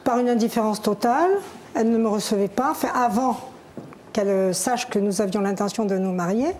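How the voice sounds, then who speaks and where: Middle-aged woman from Algeria, mellow voice. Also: mid-range voice, slight background noise. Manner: candid, measured telling with pauses. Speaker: talk show guest. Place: television studio.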